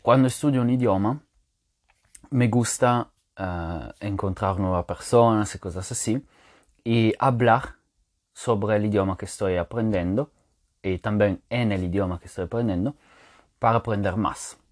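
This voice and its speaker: A man whose first language is French talks through a language point in a low voice. He explains patiently and thinks aloud, often pausing on filler sounds and restarting words. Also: a little mellow. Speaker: language teacher podcaster. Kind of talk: casual spoken lesson to listeners.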